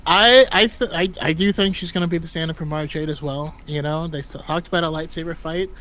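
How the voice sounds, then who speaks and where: A man's voice: a little high, fast talker, bright, forceful tone, rough recording. A man co-hosting a casual livestream chat, opinionated, stammering through restarts and laughing a lot. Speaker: podcast co-host.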